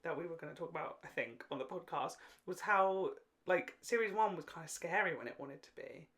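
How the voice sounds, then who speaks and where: Animated, jokey young man, casual co-host. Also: on the high side, a little fast. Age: late 20s. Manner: animated, jokey, tangent-prone, sweary. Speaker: podcast co-host. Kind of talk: casual fan podcast chat.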